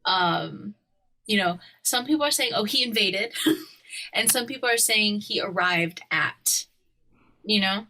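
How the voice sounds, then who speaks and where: Young woman cohost, bright sharp voice, giggly and animated in casual chat. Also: medium pitch, measured pace. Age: young adult. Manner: laughs often, animated storytelling. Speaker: podcast co-host.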